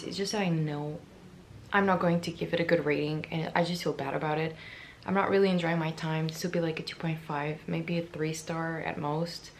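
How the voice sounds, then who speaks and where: A young woman vlogging casually about books, her voice raspy and hoarse from yelling, chatty and self-mocking, with little stumbles and repeated words. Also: on the low side, slight background noise. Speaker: book vlogger. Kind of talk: casual vlog chat.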